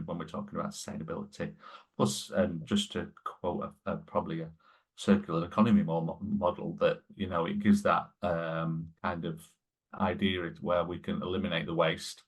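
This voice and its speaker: Podcast guest, man, husky and flat-toned, rambling with fillers and restarts. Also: low-pitched. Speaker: guest, sustainability lead. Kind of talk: conversational podcast interview.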